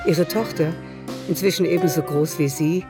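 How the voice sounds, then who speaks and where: Guy narrating a literary radio play, fairly high male voice, music behind. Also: fairly even intonation, normal speed.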